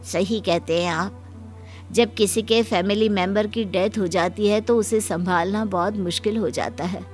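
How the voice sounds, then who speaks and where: A woman voicing a sympathetic, supportive male doctor in a cartoon moral story, speaking gently. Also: mid-range voice, steady tone, measured pace, soft background music, lightly acted. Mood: sympathetic, resolute.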